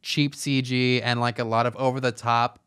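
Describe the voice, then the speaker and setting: A man with a smooth, clear voice talks as a podcast co-host reviewing films. His delivery is casual and conversational, with mid-range pitch and loose, everyday phrasing. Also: little pitch movement, normal speed, a bit bright. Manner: informal, conversational, frequent "like" and "kinda". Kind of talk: casual movie review chat.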